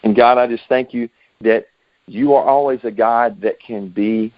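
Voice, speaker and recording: A man's voice: medium pitch, steady tone, moderate pace, dry recording. An American man giving an informal, earnest and encouraging devotional in a conversational way over a conference call line. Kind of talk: informal sermon, scripture exposition. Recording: dull lo-fi conference call audio.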